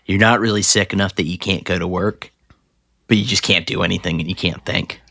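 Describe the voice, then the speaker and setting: A man's voice: low-pitched, steady tone, brisk, creaky, sharp tone, no echo, clean studio sound. A man co-hosting a TV podcast, joking wryly in casual banter. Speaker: podcast co-host. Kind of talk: casual chat.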